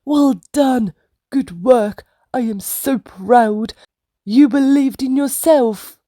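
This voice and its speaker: A woman's voice: high voice, slow, dark tone, no echo, studio quality, pitched above the performer's own voice. A woman voicing a loving father bird in a storybook, exclaiming with delight and pride. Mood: delighted, proud.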